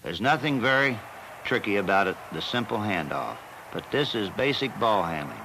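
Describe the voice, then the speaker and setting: Man, coach narrating a training film, lowish voice, steady and matter-of-fact. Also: noisy background. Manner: measured, plain instructional delivery. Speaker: football coach.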